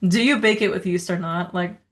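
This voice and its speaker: A woman's voice: on the low side, a little fast. A woman of origin co-hosting a podcast, talking in a rambling, casual way full of asides and little laughs. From Serbia. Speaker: podcast co-host. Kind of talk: casual conversational chat.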